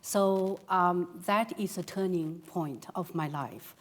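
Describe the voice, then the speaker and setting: A woman's voice: lowish voice, slow pace. A middle-aged woman from China, a native Chinese speaker, recounting her own background as a panellist.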